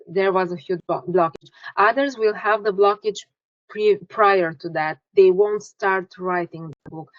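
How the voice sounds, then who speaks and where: Romanian woman, relaxed, dull call audio. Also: medium pitch, dry recording. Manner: relaxed, restarts and self-corrections. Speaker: author and energy healer guest. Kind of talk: conversational interview.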